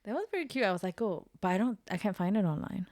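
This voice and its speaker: A woman co-hosting a fan podcast in casual chat, giggly with quick asides. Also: mid-range voice, lively intonation, a little mellow, no room sound, studio quality. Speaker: podcast co-host.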